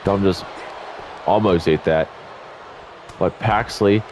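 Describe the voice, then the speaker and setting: A man's voice: deep voice, a little mellow, slight background noise. Congested, tired-sounding man commentating a game. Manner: chatty, rambling asides, self-corrections. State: congested and tired, just woke up. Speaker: YouTube gaming commentator. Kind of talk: casual play-by-play commentary over a wrestling video game. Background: faint game music.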